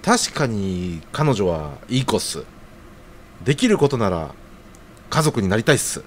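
Actor as an earnest, animated young man. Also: on the low side, unhurried, slight background noise. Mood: earnest, worried. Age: young adult. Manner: casual, deferential junior's slangy speech. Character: earnest, hesitant young man unsure about marriage. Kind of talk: audio drama dialogue.

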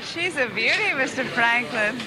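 A woman's voice: fairly high pitch, lively intonation, unhurried, over a noisy crowd, heavy background noise. A woman playing a coy, flirtatious shopkeeper with a scheming streak in a mystery film, giggly and hesitant. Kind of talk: television film mystery acting.

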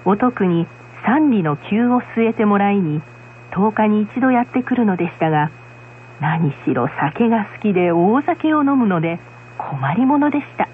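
A woman narrating a folk tale in a measured, scripted storytelling style. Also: mid-range voice, animated, slight background noise. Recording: muffled radio recording, music behind. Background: music.

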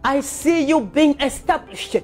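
A woman's voice: sits high, a little slow, darkish tone, soft background music. A woman preacher delivering a fervent charismatic sermon in an emphatic, repetitive, exhorting manner. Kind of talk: fervent charismatic sermon with scripture reading and prayer.

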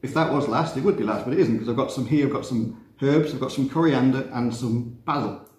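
A man, teaching a relaxed cooking demonstration in a chatty, self-mocking way with playful asides. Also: medium pitch, brisk. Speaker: cooking instructor. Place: glass-walled kitchen room facing a garden. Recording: roomy echo, faint background noise.

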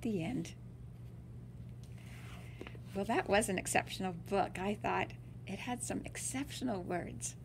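A woman's voice: mid-range voice, unhurried, gravelly, pauses often, noisy background. A woman reading a children's picture book aloud like a teacher, drawing out words for dramatic emphasis. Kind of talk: expressive storybook read-aloud.

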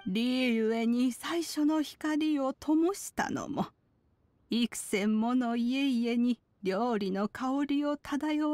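A woman's voice: on the high side, a little mellow, no echo. A woman playing a wise, kindly elderly sage, telling legends in archaic, solemn speech for a Japanese video game. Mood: fond, wistful.

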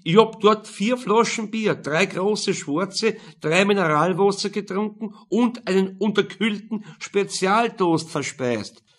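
A man's voice: high voice, a little slow, hardly any pauses, pitched above the performer's own voice. A man voicing an opinionated tennis coach in his sixties, delivering an emphatic, conspiratorial monologue in an audiobook. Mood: indignant, insistent. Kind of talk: audiobook character dialogue.

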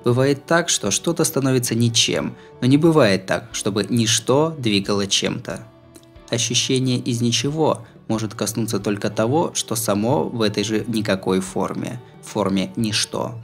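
A man's voice: on the low side, moderate pace. A man narrating a first-person novel in a wry, conversational way. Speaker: audiobook narrator. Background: music behind the voice.

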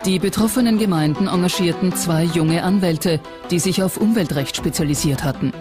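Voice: medium pitch, normal speed. A documentary narrator reading a scripted text in a factual documentary style. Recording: music bed under narration. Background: background music.

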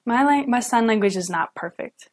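A woman's voice: fairly high pitch, lively intonation, darkish tone. Young woman voicing a signed lecture. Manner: halting, with self-corrections and restarts. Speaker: student sign language interpreter.

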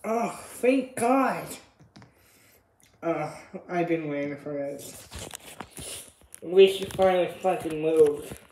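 A woman's voice: sits low, slow, long pauses, mellow, a little hiss or hum. A woman playing an irritated, blunt schoolmate in an amateur audio roleplay. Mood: relieved, annoyed. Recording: roomy, echoing home recording.

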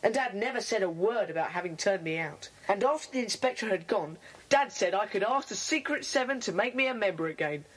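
Woman as a plucky British schoolboy, animated, reverberant. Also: medium pitch, fairly quick, lightly acted. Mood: happy. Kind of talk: dramatised children's audiobook acting.

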